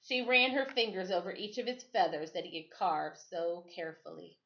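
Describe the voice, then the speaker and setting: A woman reading a children's story aloud as expressive storytime narration. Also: fairly low pitch, normal speed, muffled recording. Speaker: storytime reader.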